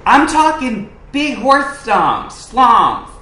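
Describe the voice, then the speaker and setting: A man plays a brash and shameless male customer in a comedy phone prank. He is bright and eager with wide pitch swings. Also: very animated, extremely slow, slight background noise, exaggerated voice. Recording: roomy living room recording.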